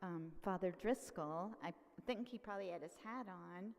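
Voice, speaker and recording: A woman's voice: lowish voice, normal speed, darkish tone. A woman answering audience questions after a lecture, thinking aloud with frequent fillers. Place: church hall. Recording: long reverb of a hall.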